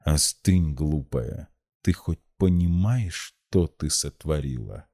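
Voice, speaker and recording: A man voicing a frail old grandfather in an audiobook, anguished and stern, in slow, pained, halting speech. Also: very deep voice, animated, dark tone, exaggerated voice. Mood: anguished reproach.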